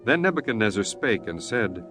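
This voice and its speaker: Low-voiced solemn male scripture narrator. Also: sing-song. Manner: measured, solemn, formal. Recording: music bed behind the voice.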